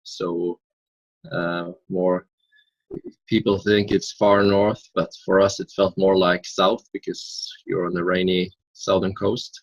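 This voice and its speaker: Soft-spoken young Norwegian man, low voice. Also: flat delivery, slow, no echo, dull audio. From Norway. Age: 20s. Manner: earnest, thoughtful, many hesitation fillers. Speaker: interview guest. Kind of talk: casual interview answers.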